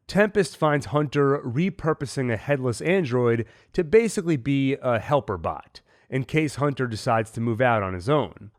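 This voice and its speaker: A man hosting a TV recap, talking with a cold in a measured, analytical way. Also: mid-range voice. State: has a cold. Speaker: host. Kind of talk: TV episode recap and theories.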